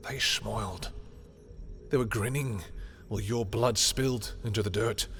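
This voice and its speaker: A man playing a lovestruck, bitter villain in an intimate audio roleplay, speaking in a halting, emotional way. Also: lowish voice, a bit bright, soft background music. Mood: bitter, angry. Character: lovestruck, bitter male villain confessing to a rival.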